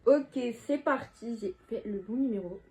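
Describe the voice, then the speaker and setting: A woman's voice: a little high, moderate pace, darkish tone, poor audio. A teenage girl chatting casually and slangily as a video host, exclamatory and easily exasperated. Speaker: video host making prank calls. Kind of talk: casual slangy vlog chat.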